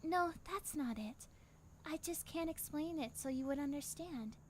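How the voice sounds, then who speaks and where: Woman acting a gentle, high-voiced young female guide. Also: a little slow, a little hiss or hum. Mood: gentle, assured. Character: grown young woman time traveller, gentle, guiding. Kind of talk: anime fan dub acting. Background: background music.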